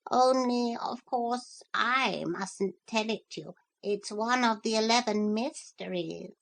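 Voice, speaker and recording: A woman's voice: a little high, slow pace, vocal fry, voice pushed up. A woman voicing a bossy, talkative parrot guide in a storybook reading. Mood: chatty.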